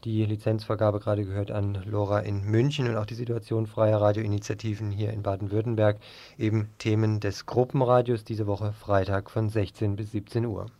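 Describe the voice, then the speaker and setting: A man's voice: lowish voice, level tone, moderate pace, dark tone. A man presenting on the radio, reading scripted announcements and asking questions.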